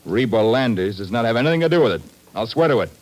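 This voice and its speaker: An actor playing an earnest, defensive wounded airline man in a 1950s radio drama, halting yet emphatic. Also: medium pitch, expressive, darkish tone, lightly acted. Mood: insistent.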